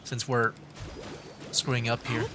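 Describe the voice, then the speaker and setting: A man's voice: mid-range voice, little pitch movement, measured pace. A man giving casual livestream gameplay commentary, thinking aloud in an easygoing way as game music plays behind him. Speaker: game streamer.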